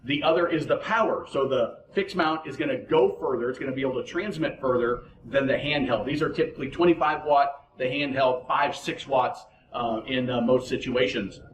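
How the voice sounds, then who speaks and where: A man's voice: medium pitch, moderate pace, few pauses. Man giving practical instruction, roomy echo. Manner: plain-spoken, practical, addresses listener directly. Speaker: boating instructor and host. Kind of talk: informal instructional explanation.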